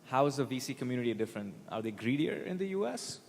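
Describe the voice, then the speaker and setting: A man moderating a panel, asking quick questions with light humor. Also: very animated, vocal fry, a little mellow. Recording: reverberant hall with faint rumble.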